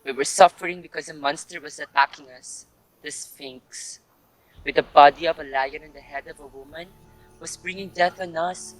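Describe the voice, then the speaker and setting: A man's voice: a little high, moderate pace, no echo, natural voice, low-quality recording. A man playing a grave, reverent townsman and storyteller in a formal, dramatic reading of a Greek tragedy. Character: grave Theban townsman and messenger, pleading and reverent. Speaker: narrator and chorus actor. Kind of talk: staged readers' theatre of Greek tragedy.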